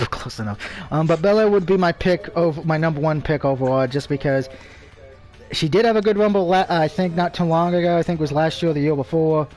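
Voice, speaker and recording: Rambling man streamer, slightly high voice, music behind. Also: a little fast, darkish tone. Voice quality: speech impediment. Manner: swearing, rambling, opinionated. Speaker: game streamer. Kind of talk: casual live stream commentary while gaming.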